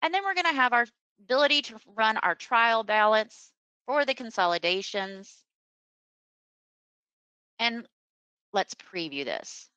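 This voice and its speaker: A woman presenting a webinar as a software trainer, explaining a screen demonstration step by step in an unscripted way. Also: fairly high pitch, expressive, a little slow, pauses often, smooth, no echo, muffled recording. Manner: instructional, step-by-step.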